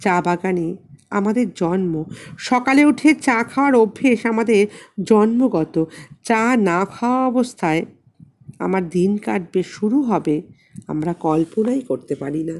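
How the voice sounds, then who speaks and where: A woman narrates literary fiction in a soft, mellow tone. Her mid-pitched voice moves slowly, with deliberate pauses and expressive phrasing, in a scripted audiobook style.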